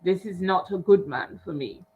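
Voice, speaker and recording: A woman hosting an interview, putting a thoughtful question to her guest in a measured way over a remote call. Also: lowish voice. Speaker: host. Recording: tinny phone-like line.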